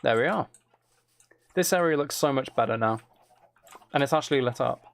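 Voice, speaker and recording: A man's voice: mid-range voice, normal speed, creaky, a little hiss or hum. A man giving casual gameplay commentary, thinking aloud in short, muttered phrases as he plays. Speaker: streamer playing a video game.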